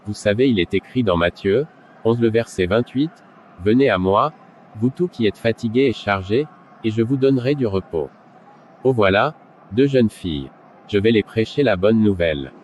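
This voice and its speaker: A man playing a caring, urgent street evangelist who exhorts passers-by in a scripted animated drama. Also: on the low side, normal speed, close to an everyday voice. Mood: fervent. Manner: preaching, exhorting. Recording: dull lo-fi sound, background noise.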